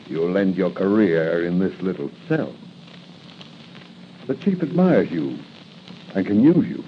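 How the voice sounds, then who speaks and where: A man's voice: sits low, very animated, unhurried. An actor playing a menacing, mocking gangster henchman in 1940s radio style, with a sneering, taunting delivery. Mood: threatening. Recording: muffled 1940s radio broadcast, faint noise.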